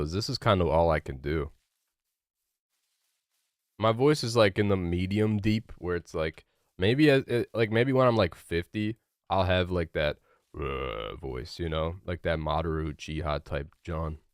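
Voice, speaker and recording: Laid-back young man with a low voice. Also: a little slow, no room sound, studio quality. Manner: rambling, filler-heavy, laid-back. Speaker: streamer. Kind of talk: casual livestream chat while gaming.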